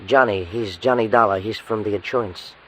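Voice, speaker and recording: A man's voice: lowish voice, a little fast, slight background noise, natural voice. An American man playing a nervous, deferential, rambling talent agent in a 1950s radio drama. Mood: pleading, upset.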